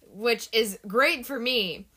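A woman co-hosting a podcast with a bright, forward tone and a higher pitch. She is playful and keeps the conversation moving from topic to topic. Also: slow pace, husky. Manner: playful, steers the talk. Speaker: podcast co-host. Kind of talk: casual chat.

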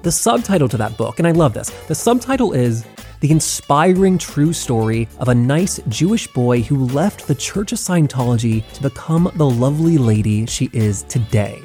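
Guy doing a podcast intro, upbeat and welcoming, soft music underneath. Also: medium pitch, lively intonation, moderate pace. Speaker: podcast host.

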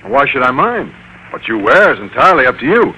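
Naive, flustered schoolmaster, vintage radio sitcom: crisp formality, lively swoops, sharp bright tone. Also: male voice, medium pitch, a little fast, exaggerated voice. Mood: earnest. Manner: earnest, stiffly formal, flustered. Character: naive, stingy, literal-minded male schoolteacher. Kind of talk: 1940s-50s radio comedy acting. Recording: faintly noisy old radio recording.

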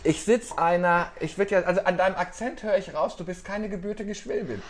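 A man's voice: high voice, a little fast. A man hosting a casual podcast chat, playful and teasing with his guest. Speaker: podcast host, newspaper editor-in-chief.

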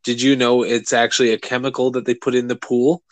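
A man co-hosting a casual podcast, rambling and animated, swearing freely. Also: mid-range voice, brisk, dry recording. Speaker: podcast co-host. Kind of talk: casual chat.

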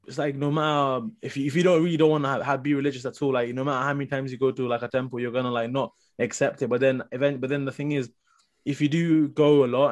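This is a young man from Nepal in a casual panel conversation. He talks very quickly at an average male pitch. His phrasing is informal and slangy, peppered with "like" as he goes. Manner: informal, slangy, heavy use of "like". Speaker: podcast panelist. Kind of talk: casual group discussion.

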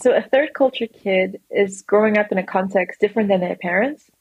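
Fast-talking woman, husky and low. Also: no room sound, lo-fi sound. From grew up in England, Australia and the UAE. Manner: quick, animated storytelling with restarts. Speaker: guest, design manager. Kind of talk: conversational interview.

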